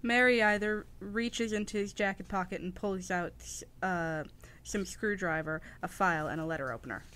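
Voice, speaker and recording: A woman's voice: medium pitch, normal speed, fairly bright tone. A woman reading scene-setting narration for a radio play.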